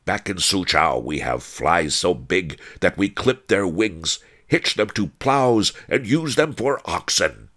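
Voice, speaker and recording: A man's voice: low voice, lively intonation, takes it slowly, few pauses, a bit bright, voice pushed up, exaggerated voice. A man voicing a belligerent, bragging male thug in a storybook, with a roaring, blustering delivery. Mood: belligerent boasting.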